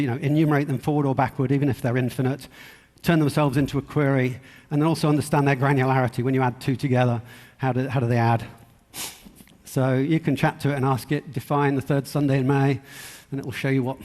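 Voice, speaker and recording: A man's voice: medium pitch, little pitch movement, fast talker, darkish tone. A man giving an informal technical conference talk in a conversational, wry, anecdotal way. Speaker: conference speaker. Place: indoor conference hall. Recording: reverberant hall sound.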